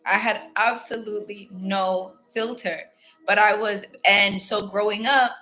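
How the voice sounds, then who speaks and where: A woman's voice: mid-range voice, fairly even intonation, unhurried, pushed and bright, low-quality recording. A woman from Ghana hosting a casual motivational self-help talk, candid and reflective, chatting directly to her viewers. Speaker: spiritual self-help host.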